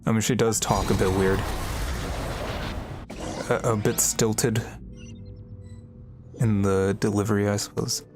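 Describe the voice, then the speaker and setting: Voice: medium pitch, steady tone, a little slow, pauses often, over music. A streamer giving casual livestream game commentary in a wry, offhand way.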